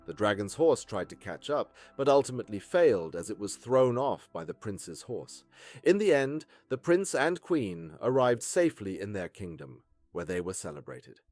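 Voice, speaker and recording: A man's voice: fairly low pitch, very animated, moderate pace, darkish tone, no room sound. A man reading a scripted fairytale for children as a storyteller. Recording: music behind the voice. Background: background music.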